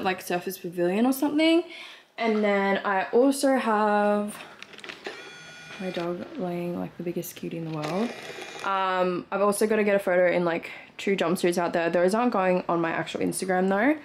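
A young Australian woman vlogger talks in a casual, gushing way at a medium pitch. She sounds like she is showing things to a handheld camera, with clear noise behind her voice. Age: 20s. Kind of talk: casual vlog chat, unboxing.

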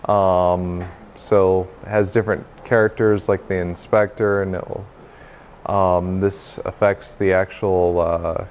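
A young man giving an informal tech demo talk in a hesitant, halting way, recorded in a meeting room. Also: low-pitched, level tone, a little mellow, a little hiss or hum. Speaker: presenter, game developer. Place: indoor hackerspace meeting room, small stage.

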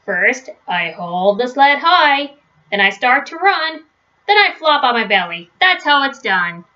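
An overconfident storybook papa bear, played by a woman shows off and instructs with emphasis. The voice is bright and rather high, on a slightly dull home recording. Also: big pitch swings, unhurried, exaggerated voice. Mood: eager, boastful. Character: boastful, overconfident father bear teaching his son. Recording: dull, lo-fi webcam sound.

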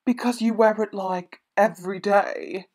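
A woman's voice: medium pitch, steady tone, a little slow, a little mellow, natural voice. A woman voicing a snarky, mocking cartoon pig in an amateur cartoon.

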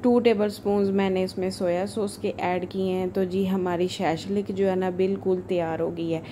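Even-toned woman in a noisy recipe voice-over. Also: medium pitch, normal speed. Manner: informal, chatty, homely asides. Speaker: home cook, cooking channel host. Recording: voice-over with clear background noise.

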